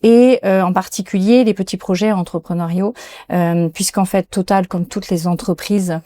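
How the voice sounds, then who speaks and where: A woman's voice: on the low side, fairly even intonation, moderate pace, dark tone, no echo, studio quality. A woman being interviewed as a company executive, speaking in a hesitant way with many fillers and restarts, recorded in a restaurant. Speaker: guest executive. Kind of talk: interview conversation. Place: restaurant in Paris.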